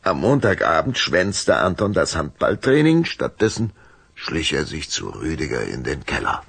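A man's voice: rumbling low, huge pitch swings, normal speed, creaky, a bit bright, husky, no room sound, heavily acted. A man narrating a children's vampire audio drama as a dramatic, suspenseful storyteller. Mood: calm, storytelling.

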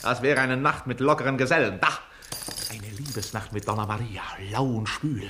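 An actor playing a sly young servant and pleasure-loving sponger in a German radio drama, speaking playfully and theatrically. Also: male voice, mid-range voice, normal speed, pushed and bright, a little hiss or hum, close to an everyday voice. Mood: wistful, relishing.

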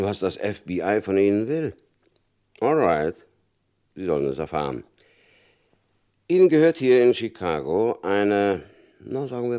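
An actor playing a stern, shrewd male FBI agent in a radio crime drama, speaking in a calm, probing, knowing way. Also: low voice.

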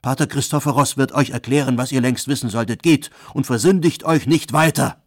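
Man doing a stern thundering imam, loud, gravelly, husky. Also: mid-range voice, brisk, dry recording, studio quality, voice pushed up, close to an everyday voice. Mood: commanding. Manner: thundering, authoritative. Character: stern commanding male imam on horseback.

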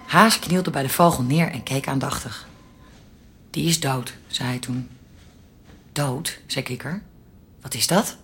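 A low-voiced, tender dying mother. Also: unhurried, long pauses, fairly bright tone, slight background noise. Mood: gentle storytelling. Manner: tender, direct address. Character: dying young mother, tender, writing to her child. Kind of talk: radio drama, intimate letter narration. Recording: long reverb, music behind.

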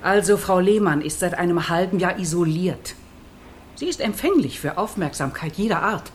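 Actress as a stern, protective nurse. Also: female voice, fairly low pitch, lively intonation, moderate pace, slight background noise, close to an everyday voice. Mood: reproving. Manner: formal, reproving. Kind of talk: radio play acting.

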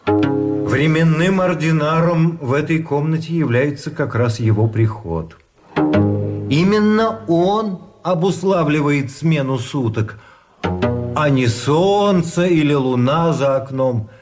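Grave war prisoner officer in a radio play, slow n methodical, explains stuff, bit of music under it. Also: male voice, on the high side, expressive. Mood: grave, explaining. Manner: deliberate, explanatory. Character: grave, methodical captive army officer explaining grim rules. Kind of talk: Russian radio drama acting.

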